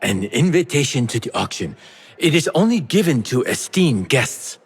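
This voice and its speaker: An actor playing a sly, theatrical merchant and smuggler with a teasing, playful manner in a video game. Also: male voice, mid-range voice, big pitch swings, moderate pace, breathy edge, heavily acted. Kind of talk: video game cutscene acting. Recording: music behind the voice.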